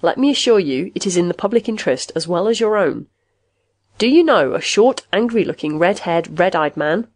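An earnest male investigator in an audiobook story, acted by a woman, courteous and persuasive with impatience underneath. The delivery is animated and insistent. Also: on the low side, normal speed, dry recording. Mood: polite, pressing. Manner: polite, urgent, persuasive. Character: earnest, diplomatic male investigator, losing patience.

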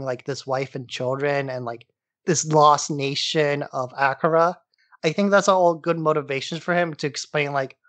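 A man's voice: on the high side, fairly bright tone, no room sound. A man co-hosting a podcast in a casual discussion, talking in loose, rambling explanations. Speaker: podcast co-host.

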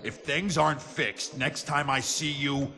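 A man playing a gruff, bitter, hot-tempered older skater in a video game cutscene. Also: on the high side, steady tone, a little slow, a crowd in the background. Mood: menacing.

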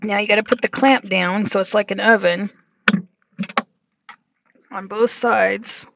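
A woman's voice: mid-range voice, fairly even intonation, unhurried, creaky, no echo. A woman talking casually through a cooking demonstration in a small van kitchen, narrating each step as she works. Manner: chatty, talks herself through each step. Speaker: van life vlogger.

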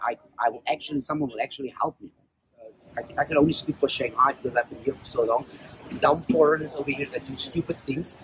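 A man's voice: on the high side, a bit bright, rough recording. Guy in his 40s from south africa, street interview, earnest with lots of ums, clip mic, faint traffic behind. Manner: earnest, with many fillers and restarts. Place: city street outdoors.

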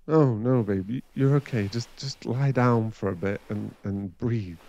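A man playing a shaken, protective werewolf boyfriend in an ASMR roleplay, halting and rambling as he soothes his partner. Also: medium pitch, vocal fry, darkish tone, no echo, muffled recording. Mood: shaken relief.

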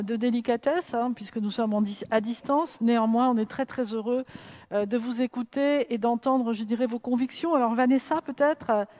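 A woman's voice: fairly high pitch, darkish tone. A woman moderating a video conference, polite and formal with a few fillers. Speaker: event host.

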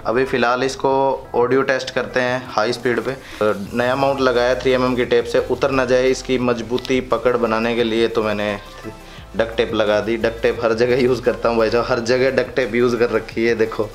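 A man talks in a casual, chatty vlog style. His voice sits at an ordinary male pitch, with faint music playing behind him. He speaks colloquially, as a motorcycle vlogger would to his followers. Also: flat delivery, moderate pace. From India.

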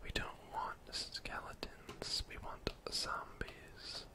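Whispering young man, gaming ASMR. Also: takes it slowly. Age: young adult. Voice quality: breathy, unvoiced whisper. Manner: murmuring, talking to himself as he plays. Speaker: gaming streamer. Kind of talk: whispered ASMR game commentary. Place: indoor room at home.

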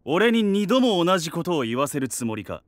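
A man's voice: fairly high pitch, very animated, brisk, no room sound. An actor voicing an arrogant, indifferent young fantasy king with a haughty, dismissive manner. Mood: cold disdain.

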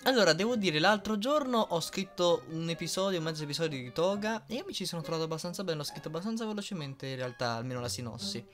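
A woman's voice: lowish voice, expressive, fast, hardly any pauses, soft background music. A young woman talking casually in an interview, giggly and blunt, often hesitating as she phrases answers. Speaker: amateur voice dubber and writer answering fan questions.

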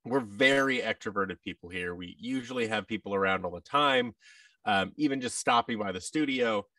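A man's voice: on the low side, normal speed, bright, forceful tone, dry recording, far from the mic. An American man in his thirties chatting casually as an interview guest, with a wry, self-deprecating manner. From United States. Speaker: guest, voice actor and audio drama producer. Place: large indoor studio room. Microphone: boom-arm microphone with headset, video call.

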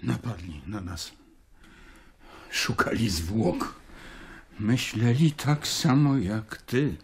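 An actor playing a shaken, respected landowner in a radio drama. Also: male voice, fairly low pitch, lively intonation, extremely slow, slightly rough, exaggerated voice. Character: shaken wealthy respected landowner, victim of raid.

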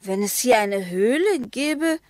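A woman's voice: fairly high pitch, expressive, slow pace, a little mellow, no room sound. An actress playing a sceptical, needling wife in a radio drama, terse and sardonic, with bitter mockery in her delivery. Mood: sceptical, dry. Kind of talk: German radio drama acting.

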